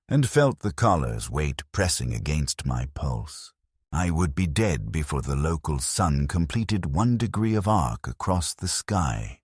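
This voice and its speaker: Man, very low pitch, husky, solemn story narration, measured delivery, dry close sound. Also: darkish tone, no echo. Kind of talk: scripted dramatic first-person story narration.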